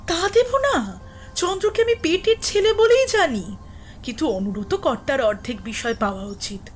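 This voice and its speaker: A woman's voice: high voice, expressive, moderate pace, a little hiss or hum. An actress playing a proud, boastful mother who talks grandly about her daughter's fortune, in an audio drama. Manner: boastful, emphatic.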